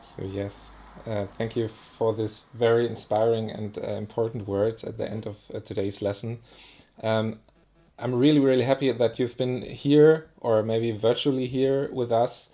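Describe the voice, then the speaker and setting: A man's voice: mid-range voice, steady tone, measured pace, dark tone. Man moderating politely. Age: 30s. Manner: polite, searching for words with fillers. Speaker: host, moderator. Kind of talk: online seminar moderation.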